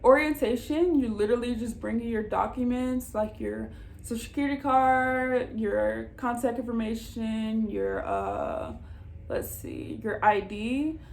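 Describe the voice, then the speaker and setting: A young woman with an American accent speaks in a somewhat high-pitched voice. She talks informally, like a vlogger chatting to viewers. Her advice comes across as casual and reassuring. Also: lively intonation, measured pace, gravelly, a little mellow, slight background noise. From Southern United States. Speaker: vlogger giving job advice. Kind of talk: casual advice chat to camera.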